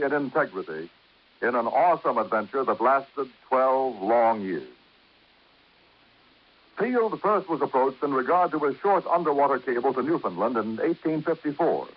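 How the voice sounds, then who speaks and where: A man reading scripted narration as a 1950s radio announcer, formal and declamatory. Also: mid-range voice. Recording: muffled old radio recording.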